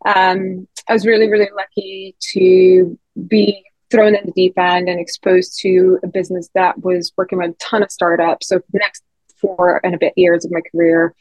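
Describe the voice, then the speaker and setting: Low-voiced chatty woman from Bulgaria. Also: little pitch movement, normal speed, a little mellow, no echo, poor audio. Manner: chatty, many fillers and self-corrections. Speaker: guest, head of people experience. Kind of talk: conversational podcast interview.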